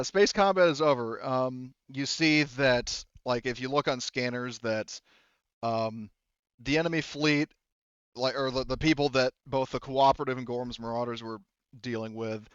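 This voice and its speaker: A man running a tabletop game as game master, narrating and thinking aloud in a halting way. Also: mid-range voice, a bit bright, clean tone, no room sound, highs cut off.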